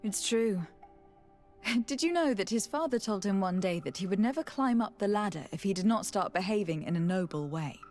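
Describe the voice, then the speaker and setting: Game heroine, female actor: composed young diplomat, medium pitch, articulate and caring, soft music underneath. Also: lively intonation, normal speed, natural voice. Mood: curious, fond. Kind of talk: video game dialogue acting.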